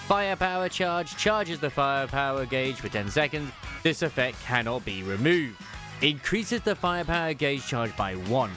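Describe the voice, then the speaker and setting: A man's voice: medium pitch, expressive, moderate pace, over music. A man hosting a gaming video with casual, chatty commentary on a character's skills. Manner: chatty, self-correcting asides. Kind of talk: casual game kit commentary, reading skill text aloud.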